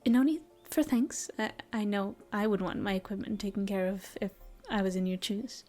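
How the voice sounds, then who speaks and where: A woman voicing a gentle, caring female elf ranger in an audio roleplay, speaking softly and often in a whisper. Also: mid-range voice, normal speed, soft background music, natural voice. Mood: soothing. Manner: soft, soothing, often whispered. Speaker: voice actress. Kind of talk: audio roleplay, comfort ASMR.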